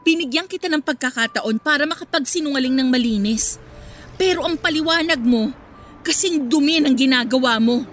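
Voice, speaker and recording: High-voiced, cutting, hurt young girlfriend. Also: fairly quick, a bit bright. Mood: hurt, final. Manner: sarcastic, cutting. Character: hurt, sarcastic young woman confronting cheating boyfriend. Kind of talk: radio drama acting. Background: faint background music.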